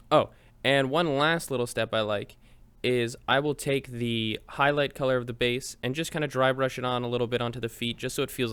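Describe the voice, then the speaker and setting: A man's voice: mid-range voice, a bit bright, clear, resonant tone. A man narrating a step-by-step tutorial in an informal, chatty, explanatory way. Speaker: host of a miniature painting tutorial.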